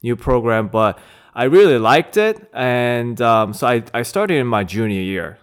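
A Korean-born man in his thirties, talking as an interview guest in a relaxed, reflective way with many fillers. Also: medium pitch, a little slow, clean tone. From South Korea. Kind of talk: casual conversation.

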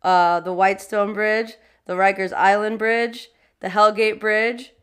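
A woman's voice: medium pitch, flat delivery, measured pace, a bit bright, clear, resonant tone. A woman co-hosting a podcast, chatting informally about history and acting out little quotes as she tells stories. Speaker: podcast co-host. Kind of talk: casual conversational history chat.

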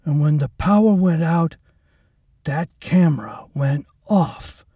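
A man's voice: on the high side, slow pace, mellow, husky, no room sound, recorded from a distance, voice pushed up. A man voicing a brutal, hulking male orderly with a thick voice who talks in a gloating, leering way. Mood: menacing.

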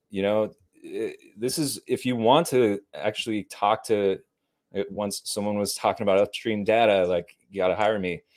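A male guest with a lowish voice, talking earnestly and at length. He rambles and builds up enthusiasm, with a casual swear word here and there. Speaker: podcast guest. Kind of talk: casual live-stream chat.

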